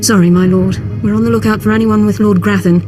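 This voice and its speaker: Lady playing tough tired army officer, no-nonsense, medium pitch, game dialogue with music behind. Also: fairly even intonation, quick pace, lightly acted. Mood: firm, fed up. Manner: frank, no-nonsense. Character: weary, tough, protective female military officer. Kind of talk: video game voice acting. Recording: music under the voice.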